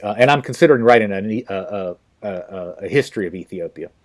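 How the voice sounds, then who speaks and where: A man hosting a podcast interview, talking casually and haltingly with many fillers and restarts. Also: lowish voice, lively intonation. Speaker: podcast host.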